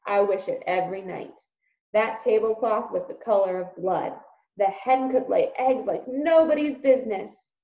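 A woman's voice: medium pitch, normal speed, warm tone, natural voice. A woman voicing a coarse, grumpy old peasant uncle who mocks and scolds, in a storybook read aloud. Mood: mocking. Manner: mocking, gruff, scolding. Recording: dull, roomy recording.

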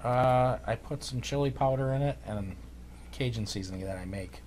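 A man's voice: mid-range voice, moderate pace, darkish tone, a little hiss or hum. A man who is a head chef, giving brief, matter-of-fact answers as a talk show guest. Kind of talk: casual interview.

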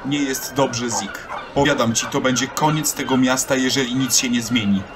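A man's voice: mid-range voice, fairly even intonation, moderate pace, background noise, natural voice. An actor playing a bitter, argumentative young townsman in an audio drama. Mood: gloomy. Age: young adult.

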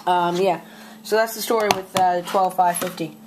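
A speaker casually showing off a collection on a handheld camera at home, halting and often clearing the throat or coughing. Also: mid-range voice, steady tone. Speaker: video host showing a collection. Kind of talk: casual handheld product show-and-tell. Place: indoors at a desk in a home room. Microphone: handheld camera's built-in mic. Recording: noisy home camera audio, clicks.

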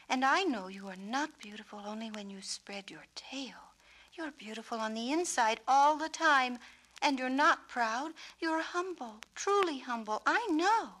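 Woman as a high, tender little storybook girl. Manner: soft, breathy, higher than the narration.

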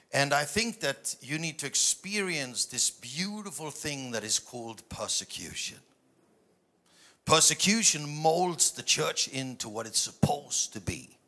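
A middle-aged man, a native German speaker, preaching an emphatic sermon from a stage into a handheld microphone with dramatic swings in volume. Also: medium pitch. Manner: emphatic delivery with dramatic swings from hushed asides to shouted phrases. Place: large church auditorium stage before a seated audience.

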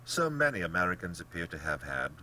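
Casting: smug, genteel storybook general, cold-blooded and pompous, forceful tone with big swoops, faint hum behind. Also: male voice, deep voice, big pitch swings, a little fast, pitched above the performer's own voice, exaggerated voice, rough recording. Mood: amused, condescending. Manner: blandly polite, pompous, theatrically emphatic. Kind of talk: dramatic audiobook character acting.

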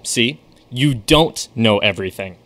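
A legendary stage magician, played by a man, who spins his past with a showman's flair and keeps his secrets close. His mid-range voice rises and falls with lively emphasis. This is a radio drama. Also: medium pitch, measured pace. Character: famous stage magician, now a ghostly guide.

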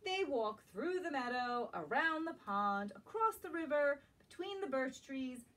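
A woman's voice: high-pitched, very animated, a bit bright. A woman around her forties reading a picture book expressively to children, as if at a library storytime. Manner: slow, questioning asides to young listeners. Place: small indoor room lined with bookshelves. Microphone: camera a few metres away. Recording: distant camera mic in a room.

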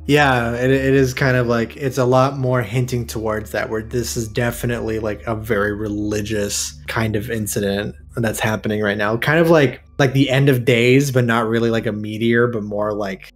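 A man's voice: mid-range voice, steady tone, moderate pace, few pauses. A man co-hosting a casual discussion, explaining things in an informal way. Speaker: co-host of a horror discussion show. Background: music behind the voice.

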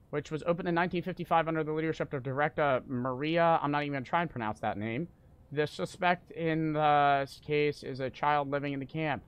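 A young man streaming a horror game, panicking, swearing and yelling in fright while reading notes aloud. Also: on the high side, slight background noise. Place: small room at home. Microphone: desk mic on stand.

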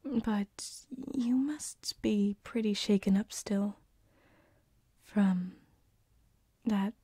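A woman playing a gentle, teasing sleep paralysis demon in a whispered ASMR roleplay, close on a binaural microphone, with a breathy, hesitant delivery. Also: mid-range voice, dry recording. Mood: sympathetic, soothing. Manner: slow, soothing, hesitant, teasing.

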